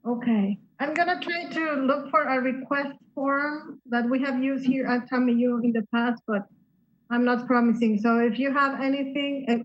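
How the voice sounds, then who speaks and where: A woman chairing a board meeting, talking informally with many pauses and restarts. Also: a little high, highs cut off. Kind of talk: casual meeting talk.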